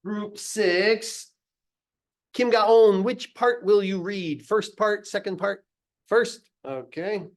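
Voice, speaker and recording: Male teacher, fairly high voice, unhurried, informal classroom instructions.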